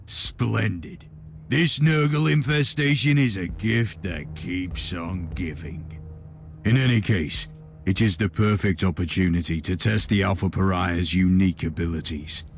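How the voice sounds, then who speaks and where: An actor playing a stern, commanding Inquisitor in a video game, speaking with deliberate authority and dry irony. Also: male voice, low-pitched, gravelly. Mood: dry, sardonic. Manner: authoritative, deliberate, dryly ironic. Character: stern, commanding male Imperial Inquisitor, dryly sardonic. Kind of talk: scripted video game voice acting. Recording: music behind the voice.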